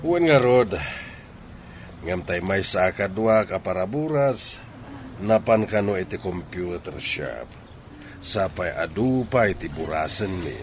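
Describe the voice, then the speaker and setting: A man's voice: low voice, animated, measured pace, a little hiss or hum. A man playing a friendly, boastful older village father with a Filipino accent in a radio drama. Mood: persuading.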